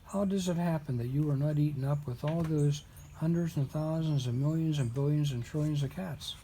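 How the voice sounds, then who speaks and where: A man's voice: on the high side, normal speed, mellow, a little hiss or hum, close to an everyday voice, poor audio. A man reading the part of a kindly, easily smitten very old man in a storybook. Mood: tender. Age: older.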